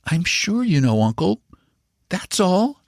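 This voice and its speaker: A man voicing an anxious, intense young boy in a storybook reading, speaking high and strained. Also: big pitch swings, takes it slowly, no echo, clean studio sound, exaggerated voice. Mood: uneasy.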